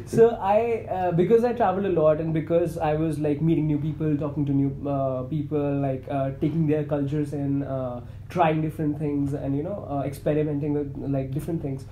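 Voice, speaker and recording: A man from India hosting a podcast, chatting casually and relaxed, with many fillers. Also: fairly high pitch, fairly quick, few pauses. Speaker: podcast host. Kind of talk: casual conversation. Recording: echoey room with faint noise.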